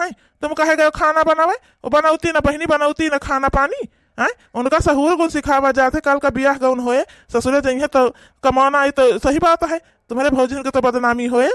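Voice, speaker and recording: A man's voice: very high-pitched, a bit bright, no echo, studio quality. A man voicing an indignant young brother in a village family cartoon, arguing and scolding with pointed questions to protect his sister. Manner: argumentative, scolding, rhetorical questions. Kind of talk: rural family cartoon dialogue.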